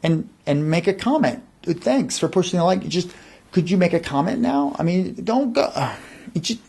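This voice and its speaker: High-pitched man, halting comic pleading. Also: expressive, creaky, darkish tone, slightly rough. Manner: halting, repetitive, insistent. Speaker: channel host.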